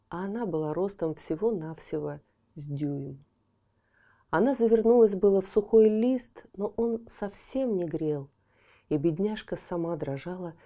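A woman narrating a fairy tale for children in a gentle, expressive storytelling style, often dropping to a hushed whisper. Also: fairly low pitch.

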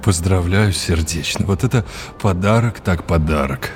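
An actor playing a kindly, courteous academic mentor in an audio drama, deliberate and encouraging. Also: male voice, deep voice, music in the background, lightly acted. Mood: delighted.

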